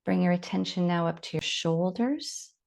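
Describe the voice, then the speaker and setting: Low-pitched woman guiding meditation, slightly creaky. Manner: gentle, unhurried instruction with long pauses. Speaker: meditation guide. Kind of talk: guided body scan meditation.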